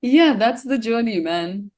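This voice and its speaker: A woman's voice: on the high side, darkish tone. A woman from India, a musician, telling a personal story in an interview in a candid, reflective way.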